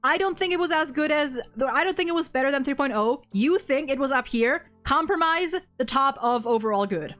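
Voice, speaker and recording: A young woman co-hosting a casual discussion, talking in an animated, opinionated way with frequent swearing. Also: high voice, brisk, hardly any pauses, soft background music. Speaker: video host reviewing a game.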